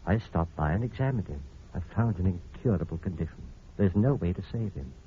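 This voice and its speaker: An actor playing a grave, considerate doctor in an old-time radio drama, speaking in a measured, explanatory way. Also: male voice, low voice, expressive. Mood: hesitant, thoughtful. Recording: muffled, hissy old radio recording.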